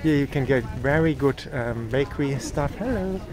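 A man's voice: medium pitch, expressive, normal speed, crowd noise, rough recording. A man with German as his first language, giving a cheerful walking-tour vlog outdoors on a town street in the evening, filmed on a handheld phone. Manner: chatty, upbeat, points things out to viewers. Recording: street noise from passing cars.

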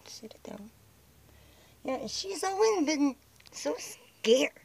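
An American woman filming outdoors on a handheld camera, talking softly to squirrels as she feeds them. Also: sits high, animated, slow pace, gravelly. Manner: hushed, chatty, talking to the animals. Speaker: vlogger feeding squirrels. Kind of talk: casual commentary while filming. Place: outdoors by a house, on snowy steps.

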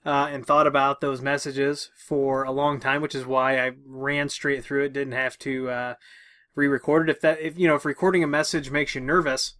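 A man's voice: mid-range voice, level tone, normal speed, a bit bright. A middle-aged man giving an informal tech walkthrough, talking through each step as he goes. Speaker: tech tutorial host. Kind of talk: casual instructional walkthrough. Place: small room with tech equipment, indoors.

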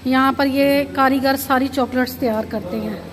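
A woman from Pakistan narrates a casual vlog tour into a handheld phone. Her voice sits high and stays fairly even in pitch, and she keeps drawing the viewer's attention to each thing she sees. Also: moderate pace, background noise. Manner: pointing things out, repeating "look at this". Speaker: vlogger. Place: chocolate shop and busy shopping street.

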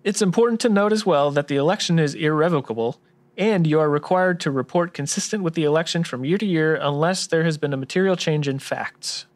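Man acting higher-voiced, orderly expert sidekick. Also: a little fast, lightly acted. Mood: matter-of-fact. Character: obliging male tax explainer sidekick in radio noir. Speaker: tax expert co-host. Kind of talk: scripted technical explanation in radio drama.

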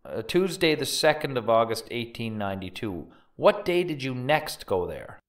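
A man acts out a methodical 1890s prosecutor in an audio courtroom drama. He questions formally and precisely, with a medium-pitched, animated voice. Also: normal speed, close to an everyday voice. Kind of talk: dramatic reading of a court transcript.

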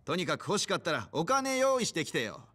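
A stubborn male pawnshop clerk, higher-pitched and swinging widely in tone. He sounds flippant, sneering and unyielding, a game cutscene shopkeeper. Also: huge pitch swings, brisk, bright, forceful tone, slight background noise, heavily acted. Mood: smug, dismissive. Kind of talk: video game cutscene acting.

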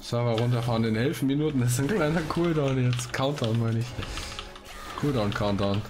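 A man's voice: medium pitch, moderate pace, over music. A man doing casual let's play commentary, chatty and colloquial, often breaking off into exclamations. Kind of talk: casual gaming commentary, reading quests aloud. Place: room at home. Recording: clear background noise, typing.

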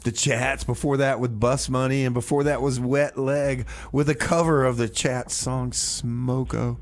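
A man hosting a radio show in casual comedy chat, talking in a halting, hesitant way. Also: mid-range voice, fairly even intonation. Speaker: radio host.

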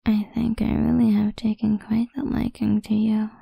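A woman playing a possessive, seductive goth vampire idol in an intimate, breathy ASMR whisper, close to the microphone. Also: mid-range voice, completely flat delivery, moderate pace, mellow, dry recording. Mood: adoring.

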